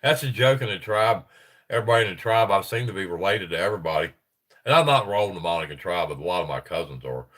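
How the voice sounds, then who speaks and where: A man's voice: deep voice, lively intonation, fast, fairly bright tone. A middle-aged man with a stuffy, congested voice, hosting a casual livestream and reading reports aloud with rambling, chuckling asides. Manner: rambling, chuckling, self-interrupting. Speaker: livestream host.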